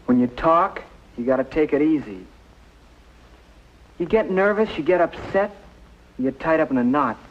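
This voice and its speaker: A man's voice: a little high, animated, normal speed, pauses often. Muffled old 50s tv drama, american guy, brusque but caring guardian, kinda impatient. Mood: firm, caring. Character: impatient but caring male guardian reassuring a nervous boy. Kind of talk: 1950s American television drama acting. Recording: dull lo-fi 1950s television soundtrack.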